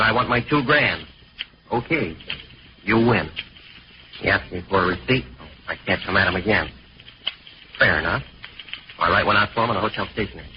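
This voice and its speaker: An actor playing a cocky, defensive American gambler suspect in an old radio crime drama, speaking in clipped, glib street slang. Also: male voice, low-pitched, close to an everyday voice. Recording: muffled, noisy vintage radio recording.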